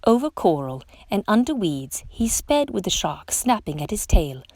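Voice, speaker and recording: A woman reading a picture book aloud to children in an expressive, dramatic storytelling style. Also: medium pitch, moderate pace, dry recording.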